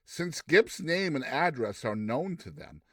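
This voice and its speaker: A man reading a classic story aloud as a theatrical, expressive narrator with deliberate delivery. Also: a little high, no room sound, studio quality. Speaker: narrator, reader.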